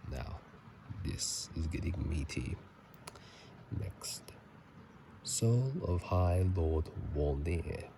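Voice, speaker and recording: A man speaking in a soft ASMR whisper and murmur, casual and halting, recorded on a phone microphone. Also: very deep voice, animated, gravelly. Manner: halting, casual, self-correcting. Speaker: channel host. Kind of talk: whispered ASMR game commentary. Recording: faint noise, phone microphone.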